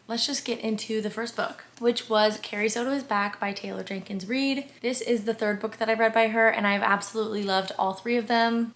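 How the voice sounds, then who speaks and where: Woman book reviewer, voice going hoarse, chatty and eager, mid-range pitch. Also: fairly bright tone. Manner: chatty and enthusiastic, quick asides. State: hoarse, losing her voice. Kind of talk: casual monthly reading wrap-up.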